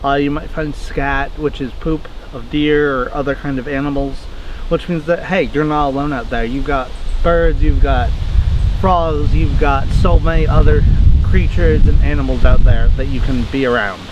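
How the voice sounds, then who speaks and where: A man's voice: mid-range voice, fairly even intonation, normal speed, lo-fi sound, noisy background. A man in his twenties chatting casually outdoors in a wooded yard, rambling and correcting himself as he answers viewer questions. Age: 20s. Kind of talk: casual Q&A vlog.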